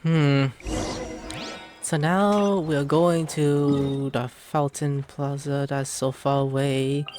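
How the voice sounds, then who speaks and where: A man's voice: fairly high pitch, little pitch movement, extremely slow, music in the background. A man giving casual, rambling gameplay commentary, mumbling and swearing freely. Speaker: gamer.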